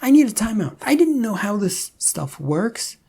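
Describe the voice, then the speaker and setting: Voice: medium pitch, very animated, normal speed, gravelly, dark tone, slightly rough, pitched above the performer's own voice, full character voice. A narrator voicing a feisty, competitive woman with a brash, indignant manner in an audio story. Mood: whining, indignant.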